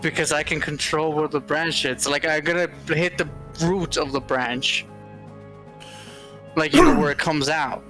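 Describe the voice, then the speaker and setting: A man's voice: on the high side, a little slow, over music. A man playing a tabletop game, casually and eagerly explaining his plan, often repeating himself.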